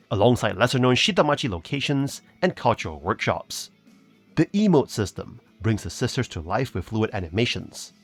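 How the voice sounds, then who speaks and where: A man narrating scripted video game reviews as a reviewer, with a polished, promotional delivery. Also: mid-range voice.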